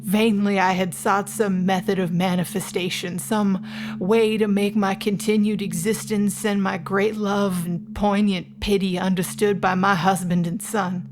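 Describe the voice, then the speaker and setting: A woman's voice: medium pitch, flat delivery, natural voice. Woman playing a sorrowful ghost wife, noisy ambience. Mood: wistful, haunted. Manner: solemn, measured, formal storytelling. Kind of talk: literary gothic monologue in an audio drama.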